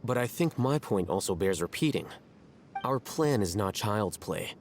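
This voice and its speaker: Low-voiced, condescending young scholar. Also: male voice, lively intonation, slight background noise. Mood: stern. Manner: dry, condescending, measured, articulate. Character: arrogant, coolly rational young male scholar. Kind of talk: video game character acting.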